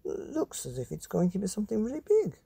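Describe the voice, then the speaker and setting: A curious, fussy storybook doctor, acted by a man, pitched well above the reader's own voice. He coaxes in a lively, swooping way with a husky edge. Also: a little fast, no echo, exaggerated voice. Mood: coaxing, eager.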